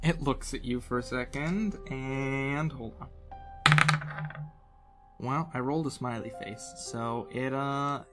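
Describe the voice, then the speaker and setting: A man's voice: medium pitch, takes it slowly, pauses often. A man casually narrating an improvised tabletop game as game master, playful and conversational. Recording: music bed behind the voice.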